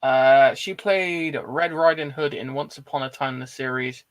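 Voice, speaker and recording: A man's voice: mid-range voice, a little slow. A man co-hosting a casual movie chat in an informal, blunt, sweary way. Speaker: film discussion show co-host.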